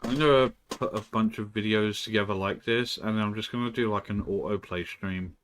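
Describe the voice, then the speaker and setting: Slightly low-voiced man streamer rambling. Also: fairly even intonation, moderate pace, a bit bright. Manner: rambling, with frequent throat clearing and swearing. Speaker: live streamer. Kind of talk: casual stream chat. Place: indoors at home.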